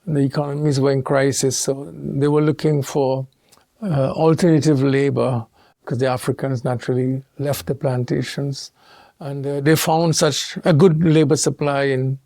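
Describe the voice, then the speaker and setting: A man from Trinidad and Tobago, a theologian speaking as an interview guest in a measured, thoughtful way with pauses and restarts. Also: mid-range voice, vocal fry, warm tone, no room sound. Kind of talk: reflective interview conversation.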